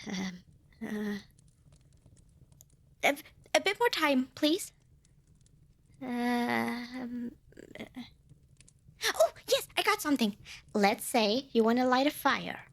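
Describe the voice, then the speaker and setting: A woman's voice: a little high, sing-song, slow, vocal fry, pauses often, full character voice. A woman playing a cute, eager and nervous little female demon in an ASMR roleplay, bubbly and over-polite with hesitant stammers. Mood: stalling, unsure. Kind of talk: ASMR roleplay acting addressed to listener.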